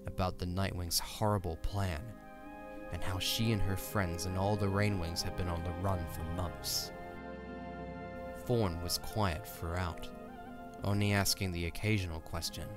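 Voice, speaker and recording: A man narrating a scripted audio drama as a dramatic storyteller. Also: sits low.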